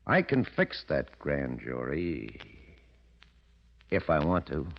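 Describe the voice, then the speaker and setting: An actor playing a scheming, ambitious blackmailer in a 1940s radio drama, with an insinuating, self-assured manner. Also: male voice, a bass voice, very animated, slow, creaky, heavily acted. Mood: smug. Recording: muffled vintage radio recording.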